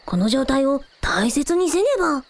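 A tiny dragon prince, extremely high and full of swooping pitch. He talks in quaint, lordly old-fashioned phrases like a proud but kindly child in a radio drama. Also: animated, normal speed, no echo. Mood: earnest, proud.

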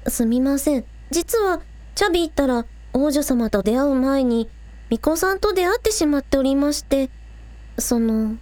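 A woman's voice: sits high, animated, normal speed, a little mellow, no room sound. A woman playing an earnest, anxious teenage village idol girl in an audio drama. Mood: hesitant, earnest. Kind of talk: Japanese audio drama acting.

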